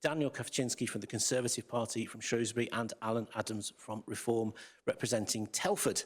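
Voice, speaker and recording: A man hosting a business hustings debate in a theatre, brisk and organised as he introduces the panel and explains the format. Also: lowish voice, steady tone, slightly rough. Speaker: debate host. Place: theatre with audience.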